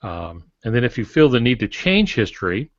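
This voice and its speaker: A man's voice: medium pitch, sing-song, moderate pace, no echo. A man co-hosting a podcast, giving casual writing instruction in an advisory way with short maxims. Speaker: podcast co-host and writing teacher. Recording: dry, dull lo-fi podcast audio.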